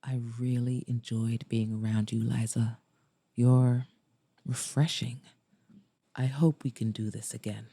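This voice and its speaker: A woman voicing a flirtatious, softly sincere man in an audiobook romance, slow and lowered. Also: no room sound, heavily acted. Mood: flirty, sincere.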